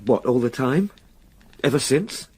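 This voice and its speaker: An actor playing an earnest, shaken young gentleman in a radio drama, questioning with urgent intensity. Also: medium pitch, expressive, measured pace, breathy edge.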